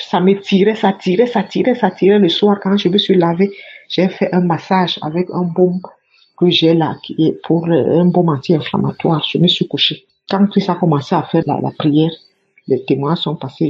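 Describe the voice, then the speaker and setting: A woman's voice: low voice, a little mellow, slightly rough. A woman giving a fervent spoken religious testimony, repeating her phrases for emphasis. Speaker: church member giving testimony. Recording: dull lo-fi recording.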